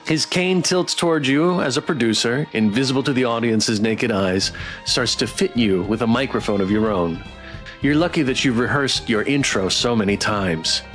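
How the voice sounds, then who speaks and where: A man's voice: medium pitch, normal speed, a bit bright, faint music behind. A man reading a visual novel aloud as a streamer, with a dramatic storyteller delivery. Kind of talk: expressive read-aloud narration.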